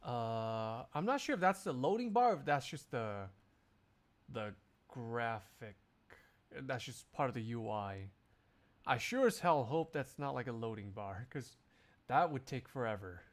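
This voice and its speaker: Man livestreamer with lively, swinging pitch. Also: a little slow. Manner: jokey, rambling, exclamatory. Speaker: live streamer. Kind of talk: casual livestream chat to viewers.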